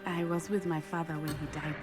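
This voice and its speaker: A woman's voice: sits low, little pitch movement, lightly acted. Woman acting a solemn, formal young witch, music behind. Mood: wistful, grieving. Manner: formal, measured speech. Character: solemn, brave teenage schoolgirl witch. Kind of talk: video game dialogue.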